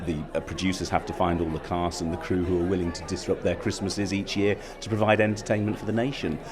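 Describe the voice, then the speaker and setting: A man's voice: low-pitched, little pitch movement, fast. A man giving an upbeat interview as a company executive. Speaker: interview guest, joint chief executive of a theatre company. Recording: busy crowd chatter behind voice. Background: event crowd.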